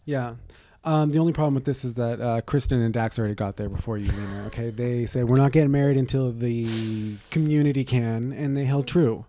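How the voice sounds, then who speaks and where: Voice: mid-range voice, a little fast, hardly any pauses, dark tone, dry recording. A podcast co-host in casual banter, throwing in sarcastic, catty, sweary quips. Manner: sarcastic, bitchy quips, profane.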